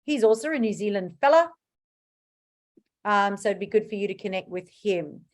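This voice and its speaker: A woman's voice: mid-range voice, expressive, normal speed. A woman with a South African accent leading an informal class as a business coach, stressing key points emphatically. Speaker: business coach hosting a book club class. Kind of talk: informal online class talk.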